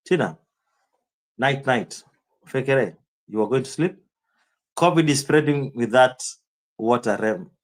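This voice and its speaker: A man with a strong Kenyan accent talks loosely to his live audience and rambles from point to point. He answers chat comments by name in a casual streaming manner, and his voice sits at an ordinary middle pitch.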